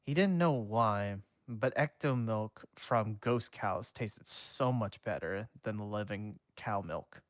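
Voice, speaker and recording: A man reads fan fiction aloud on a stream, his lowish voice moving slowly and evenly. He stumbles now and then and restarts a word. The sound is dry and close. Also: dry recording. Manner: slow, even reading with small slips. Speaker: fanfic reader and streamer.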